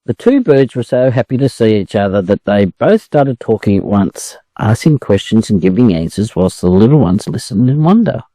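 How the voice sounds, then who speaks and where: Mellow, expressive Australian male storyteller. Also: on the low side, moderate pace, few pauses, no room sound. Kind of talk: children's story narration for English learners.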